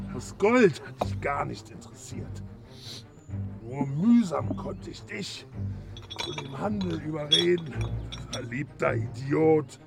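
Ein Schauspieler spielt in einem Fantasy-Hörspiel einen gierigen, brutalen Riesen, höhnisch und gedehnt. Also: male voice, a little high, vocal fry, heavily acted. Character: greedy, brutal, scornful giant. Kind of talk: fantasy radio play acting. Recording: loud music bed behind the voice.

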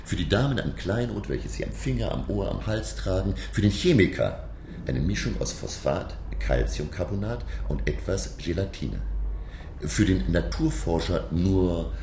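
An actor playing a learned French naturalist professor who narrates a sea voyage in a radio play, measured and reflective. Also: male voice, sits low, expressive, a little mellow, slight background noise. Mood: learned, explaining.

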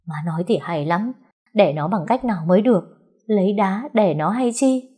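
Woman playing young wife, husky, whining, resentful, aggrieved complaints, dramatic storybook. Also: mid-range voice, lively intonation, moderate pace, mellow, voice pushed up. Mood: aggrieved, sulky.

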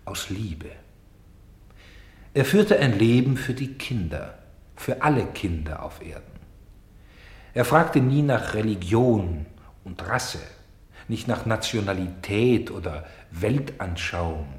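A man acting as an insistent, solemn narrator and director in a German radio play, speaking deliberately with emphatic pauses. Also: lowish voice, expressive, echoey room. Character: solemn, insistent male stage narrator presenting a grave play. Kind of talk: theatrical German radio play, addressing the audience.

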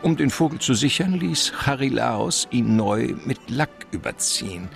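A wily, courtly villain of a radio crime play. He speaks slowly and pompously, with wide swoops of pitch and a creaky edge. He is verbose and deliberate, savouring his own polished phrases. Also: animated, faint music behind. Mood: relishing storytelling. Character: suave, wily, verbose male criminal mastermind hunting treasure. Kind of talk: German radio crime drama acting.

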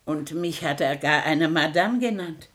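A woman's voice: low voice, a little slow, a bit bright. A woman playing a fussy, status-proud small-town matron in a comic radio drama, with effusive, exaggerated politeness. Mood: indignant gossip.

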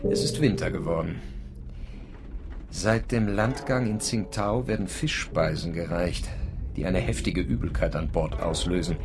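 An actor playing a world-weary, sardonic travel writer, speaking with dry literary irony in a radio drama. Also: male voice, low-pitched, measured pace, vocal fry. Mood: wry, weary. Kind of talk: radio drama narration and dialogue. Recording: music behind the voice.

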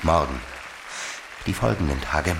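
Very deep, slow-spoken seducer. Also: male voice, animated, gravelly, exaggerated voice. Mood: ardent wooing. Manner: slow, intimate, pleading declarations with pauses. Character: smooth seductive gentleman wooing a married woman. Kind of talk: German radio play acting. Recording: loud crowd and fairground noise behind.

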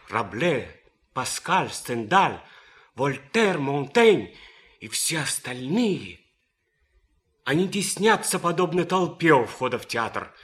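An actor playing an idealistic, romantic young German officer in a radio play, declaiming rhapsodically with deliberate pauses. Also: male voice, mid-range voice, fairly bright tone. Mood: fervent, proud.

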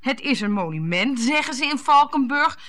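An actress in a radio play, playing a jealous, sarcastic and indignant wife who speaks bluntly. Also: female voice, high voice, animated, moderate pace, a bit bright. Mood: scornful, impatient.

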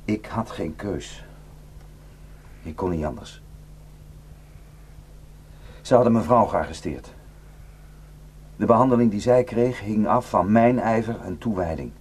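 An actor playing a haunted, guilt-ridden male defector in a Dutch radio drama, speaking in a halting, intense and bitter way. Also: on the low side, darkish tone, husky. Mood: anguished, guilty. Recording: noisy radio play recording with hum.

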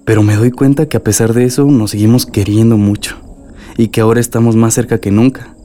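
An actor playing a nervous, romantic suitor in a radio soap, earnest and hesitant, with a Mexican accent. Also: male voice, lowish voice, fairly even intonation, a little fast, dark tone, soft background music, natural voice. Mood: tender, earnest. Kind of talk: radio soap opera acting.

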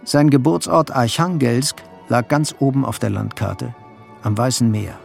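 A male narrator tells a literary story for a radio play. His voice is mid-pitched and creaky, with faint music behind it. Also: a little slow.

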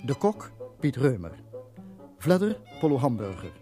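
A man's voice: medium pitch, big pitch swings, a little slow, dark tone, soft background music. A man with a Dutch accent working as a radio announcer, reading scripted drama credits.

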